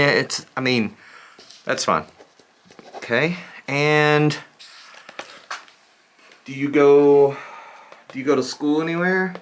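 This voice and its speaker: A man playing a slightly deranged, scatterbrained doctor in a soft-spoken ASMR roleplay, halting, repetitive and insistent. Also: medium pitch. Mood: muddled, awkward. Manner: halting, repetitive, distracted muttering.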